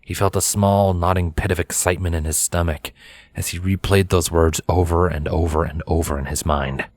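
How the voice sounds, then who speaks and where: A man's voice: deep voice, steady tone, normal speed, darkish tone, no echo. A man reading a scripted story aloud as its narrator. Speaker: narrator, fan fiction reader.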